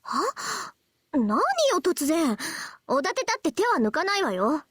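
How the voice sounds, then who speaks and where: A woman playing a proud, easily flustered teenage girl mage in a Japanese visual novel, brisk and scolding. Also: high-pitched, big pitch swings, no room sound, studio quality. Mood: startled, scolding.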